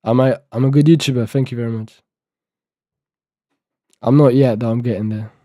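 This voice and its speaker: A man's voice: mid-range voice, fairly even intonation, moderate pace, mellow, no room sound, studio quality. An eighteen-year-old man streaming live, thinking aloud and half-reading documentation to himself as he codes, with a sore throat. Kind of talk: casual live-stream talk while coding.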